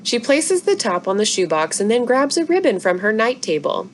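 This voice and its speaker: A woman's voice: on the high side, big pitch swings, normal speed, slight background noise. A woman reading a children's book aloud expressively, as a story-time narrator. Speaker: library story-time reader.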